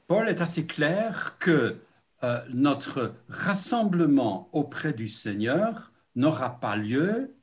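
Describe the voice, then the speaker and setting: An older man preaching a sermon as a pastor, earnest and reflective, with frequent hesitations. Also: mid-range voice. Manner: slow, deliberate, with frequent hesitations.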